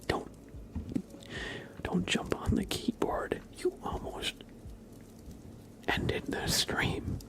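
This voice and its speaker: A man doing casual ASMR chat in a hushed, mostly whispered voice, rambling gently. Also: high-pitched. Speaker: ASMR livestreamer.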